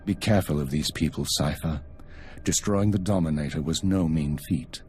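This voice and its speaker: An actor playing a stern, commanding older intelligence chief in a video game, speaking in a clipped, formal way. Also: male voice, a bass voice, moderate pace, warm tone, soft background music. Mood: grave, commanding. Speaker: intelligence chief briefing agents. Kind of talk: dramatic video game cutscene acting.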